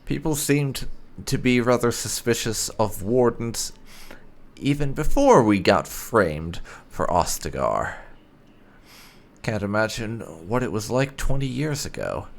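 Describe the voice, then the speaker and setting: A man hosting a video game playthrough, commenting and reading out dialogue choices in roleplay, often halting to think aloud. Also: mid-range voice, big pitch swings, vocal fry. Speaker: gaming channel host.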